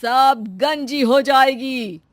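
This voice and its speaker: A woman voicing a wrathful holy man in an animated story, slow, loud and menacing. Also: high-pitched, no echo, studio quality, pitched above the performer's own voice.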